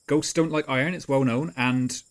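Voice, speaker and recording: A man's voice: mid-range voice, fairly even intonation, moderate pace, lightly acted. A man playing a practical, chummy ghost hunter who rallies his friends in a matter-of-fact way. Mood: rallying.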